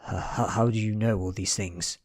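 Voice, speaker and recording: A man's voice: on the low side, steady tone, moderate pace. British man acting a stammering, frightened man. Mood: shaken. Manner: stammering, hesitant.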